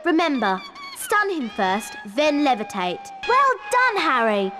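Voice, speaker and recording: A woman's voice: sits high, very animated, takes it slowly, bright, forceful tone, big-room sound, full character voice. A woman voicing a keen, bossy young schoolgirl witch in a video game, earnest and instructive. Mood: brisk, instructive. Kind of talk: video game character acting.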